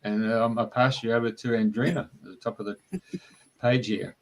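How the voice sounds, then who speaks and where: A man's voice: mid-range voice, fairly even intonation, normal speed, poor audio. A man, a radio co-host speaking casually as he hands over the show. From Australia.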